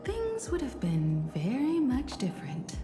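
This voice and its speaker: A woman's voice: a little high, huge pitch swings, unhurried. An actress playing a poised, polite, knowing woman who speaks formally and with composure. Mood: composed, polite. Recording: echoey, game music behind.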